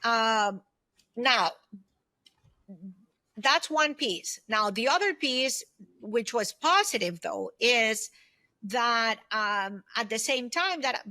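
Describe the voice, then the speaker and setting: A woman in her fifties speaks as a guest in a conversational interview. Her voice sits fairly high and has a clearly bright, forward edge. She makes her points emphatically, often posing questions to herself as she explains her experience. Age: 50s. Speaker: guest, solopreneur consultant and former aerospace executive. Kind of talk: conversational interview, sharing personal experience and advice.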